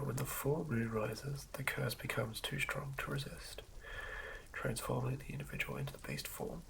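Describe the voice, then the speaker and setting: A man doing a soothing ASMR reading of fantasy game lore in a hushed, breathy whisper, occasionally stumbling over words. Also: mid-range voice. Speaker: ASMR host. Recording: lo-fi recording with clear background noise.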